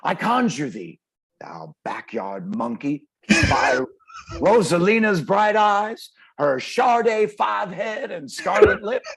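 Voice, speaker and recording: A man playing a brash, streetwise poetic performer with a gravelly, husky voice, swaggering and declamatory in a table read. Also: high-pitched, huge pitch swings, slow pace, a bit bright, full character voice. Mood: mock incantation. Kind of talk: dramatic table read, slang and verse. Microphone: video call. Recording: video call.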